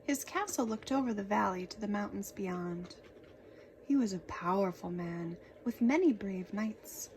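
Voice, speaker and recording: A woman reading a children's picture book aloud in an expressive, dramatic storytelling manner. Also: mid-range voice, measured pace, a little hiss or hum.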